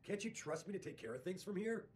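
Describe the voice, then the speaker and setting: An actor playing an authoritative, evasive company CEO in a video game, persuasive and in command. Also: male voice, high-pitched, animated, fast talker, a little mellow. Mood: placating, pressing.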